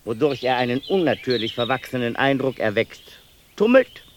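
A man voicing a male asylum inmate who tells his tale in a measured, looping, repetitive way, in a mid-pitched voice. Birds and a clear hiss of outdoor noise sit behind him in this radio play. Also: expressive, natural voice. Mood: insistent. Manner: measured, circling, repetitive telling. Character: male asylum inmate narrating his family's strange deaths. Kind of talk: 1990s radio play acting.

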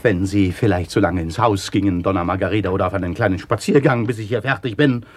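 An actor playing a polite, earnest and stubborn mechanic in a German radio play, deferential yet insistent. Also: male voice, low-pitched, fast. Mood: hesitant, stubborn.

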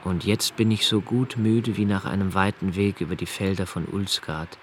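An actor playing a brooding, anxious man of twenty-eight in a radio play, narrating his notebooks in a measured, reflective way with deliberate pauses. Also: sits low, steady tone, slight background noise, natural voice. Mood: weary, melancholic. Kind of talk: literary radio play monologue, first-person narration.

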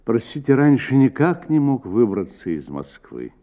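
A man's voice: mid-range voice, very animated, normal speed, voice pushed up, lightly acted. A man voicing a polite, businesslike visitor from the city in a radio story, in a courteous conversational way. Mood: apologetic. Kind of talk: acted dialogue in radio story reading. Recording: narrow-band, phone-like sound.

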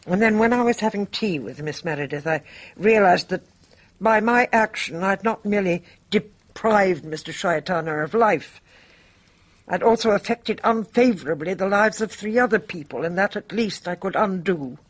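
A woman's voice: medium pitch, expressive, moderate pace, close to an everyday voice. An actress playing a resolute, dignified older Englishwoman in a radio drama, speaking with formal, grave composure. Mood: resigned. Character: dignified older woman, resolute, guilt-burdened bridge player.

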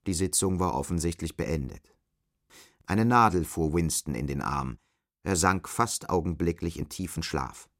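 A man's voice: low-pitched, normal speed, dry recording, studio quality. A man narrating an audio drama in scripted literary prose.

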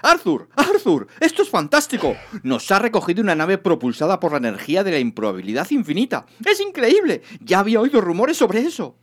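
A man acts out an excitable alien in a comic space audiobook. The voice leaps very high and squawks in exclamations, frantic and full of swoops. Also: a little fast, hardly any pauses. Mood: frantically elated. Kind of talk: dramatised comic science-fiction audiobook.

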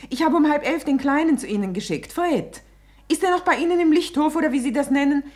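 An actress in a German radio play playing an anxious mother searching for her missing small son, tense and hurried, with strained politeness. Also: high-pitched, lively intonation, darkish tone. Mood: urgent, strained.

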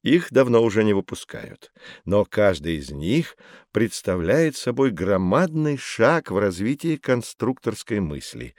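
Kindly, very animated male professor. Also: fairly low pitch, unhurried, dry recording, studio quality. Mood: explaining. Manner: avuncular, theatrical, explanatory. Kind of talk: children's educational audio play.

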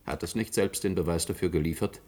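A man's voice: sits low, quick pace, mellow. An actor playing a firm, formal male expert witness who argues precisely and insistently in a German radio play. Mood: pleading firmly. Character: firm, indignant male expert witness arguing before inquiry.

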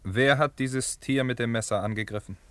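An actor playing a proud young chief's son in a German Western radio drama, formal and declamatory in a resonant voice. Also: male voice, mid-range voice, moderate pace, fairly bright tone, no echo, natural voice. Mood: stern, questioning. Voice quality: clear, resonant.

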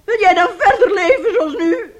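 Older mum in a radio play, domineering and weary, very emphatic and stern. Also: female voice, very high-pitched, expressive, normal speed. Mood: urgent, commanding. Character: weary, domineering older mother, moralising and insistent.

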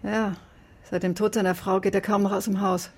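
A woman amateur detective in a radio play. Her voice is husky and mid-pitched, and she talks in a composed, probing way, like someone piecing a case together. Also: flat delivery, brisk. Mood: polite, probing. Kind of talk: naturalistic radio drama acting.

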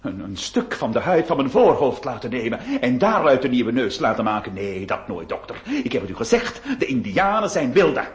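A man acting in a radio play as an excitable, distraught nineteenth-century gentleman, stammering and melodramatic. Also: mid-range voice, moderate pace, hardly any pauses, close to an everyday voice. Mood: doubtful. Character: distraught, excitable 19th-century gentleman who lost his nose. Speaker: radio drama actor. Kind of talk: theatrical Dutch-language radio play acting.

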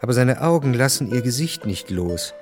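A man's voice: medium pitch, lively intonation, normal speed, darkish tone, faint music behind. A man narrating a literary radio drama from a script, precise and matter-of-fact.

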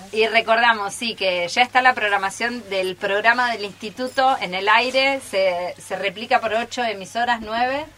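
A woman with an Argentine accent and a bright, forward voice at a middle pitch. She talks informally and with enthusiasm, like a school representative chatting as a guest on the radio. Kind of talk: casual radio chat.

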